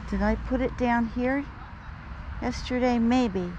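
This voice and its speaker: A woman's voice: on the high side, slow, lo-fi sound. A woman narrating a casual vlog while feeding birds outdoors on a lawn beside a road, chatting to the birds and grumbling about locals. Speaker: vlogger feeding wild birds. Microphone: handheld camera held low. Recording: outdoor noise of traffic and birds.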